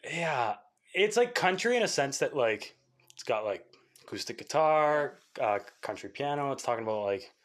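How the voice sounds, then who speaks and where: A young man, a musician from Canada, talking casually in an interview, rambling with lots of fillers and quick laughs. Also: medium pitch, very animated. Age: young adult. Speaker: musician guest.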